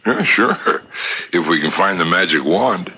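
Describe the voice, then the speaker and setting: An easygoing but skeptical police captain in a seventies radio mystery. His low voice is forceful and rough-edged. He muses in a folksy, halting way. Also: animated, exaggerated voice. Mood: musing, skeptical. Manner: hesitant, folksy, musing delivery. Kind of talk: 1970s radio drama acting. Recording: noisy 1970s radio broadcast recording.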